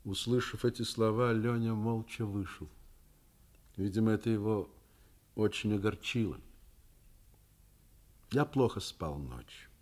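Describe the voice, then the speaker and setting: An actor in a Soviet radio play playing a middle-aged, principled school principal and worried father, speaking in a deliberate, reflective manner. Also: on the low side, darkish tone. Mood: pensive, worried. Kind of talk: Soviet radio drama acting, narrating.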